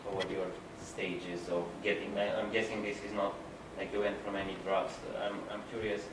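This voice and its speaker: Low-voiced man asking from afar in a hall. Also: little pitch movement, moderate pace. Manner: rambling, filler-heavy questioning. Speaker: audience member. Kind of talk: asking a question at a Q&A. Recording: echoey hall, distant, noisy.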